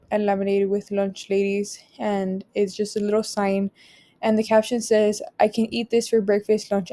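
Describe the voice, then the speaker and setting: A young woman from Texas whose first language is Spanish presents a photo project, reading each caption aloud and then explaining it in a measured way. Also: medium pitch, warm tone. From Rio Grande Valley, Texas. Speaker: student presenter.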